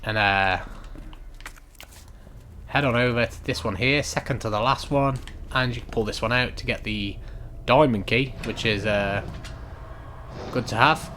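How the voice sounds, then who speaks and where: A man giving unhurried, instructional commentary over a video game walkthrough in a casual way. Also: mid-range voice, sharp tone, slight background noise. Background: video game ambience.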